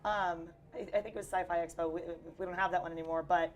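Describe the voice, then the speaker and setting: A young Texan woman talks about her hobby in a chatty, upbeat way, her voice worn and a little hoarse. She sits a bit low in pitch for a woman. A faint hum of a busy convention floor runs underneath. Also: fairly even intonation, fast talker, dull audio. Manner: chatty, upbeat, lots of fillers. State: losing her voice. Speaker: cosplayer guest. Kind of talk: casual interview chat.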